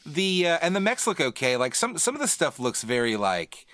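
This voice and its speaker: A man's voice: a little high, big pitch swings, moderate pace, fairly bright tone, no echo. A man co-hosting a gaming podcast, chatting casually. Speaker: podcast co-host.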